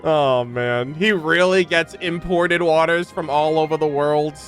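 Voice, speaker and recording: A man's voice: a little high, steady tone, a little slow, fairly bright tone, soft background music. A man giving casual, slangy reaction commentary on video game voice lines, with joking asides. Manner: informal, slangy, jokey asides. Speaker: gaming reaction video host.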